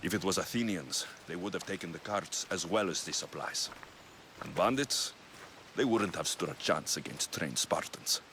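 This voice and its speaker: A man's voice: sits low, normal speed, pushed and bright, a little hiss or hum. A man playing a tough, wry ancient Greek mercenary in video game cutscenes, speaking bluntly with dry menace. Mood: wry, probing.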